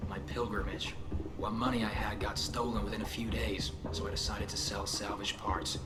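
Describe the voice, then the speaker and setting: A man voicing a young alien merchant in a sci-fi game, earnest and courteous but down on his luck. His lowish voice has a roomy echo. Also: fairly even intonation, moderate pace, very noisy, natural voice. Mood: polite, dejected. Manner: polite, earnest. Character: polite, downcast young alien salvage trader. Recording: roomy, echoing sound.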